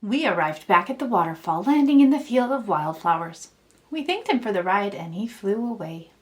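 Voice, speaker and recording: A woman reading a children's story aloud in an expressive storytelling style. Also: mid-range voice, moderate pace. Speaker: children's author reading own book aloud.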